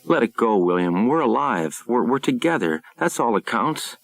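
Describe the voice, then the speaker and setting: A man's voice: medium pitch, big pitch swings, normal speed, creaky, pitched above the performer's own voice. A man voicing a distressed wife and mother who pleads emotionally but firmly, in an audiobook. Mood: pleading.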